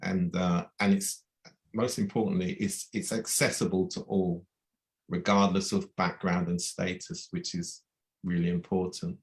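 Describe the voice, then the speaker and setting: A man from the UK hosts an online community event in a very deep voice. His delivery is measured and level, with little rise and fall. He often restarts his phrases as he goes. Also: flat delivery. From United Kingdom. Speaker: host.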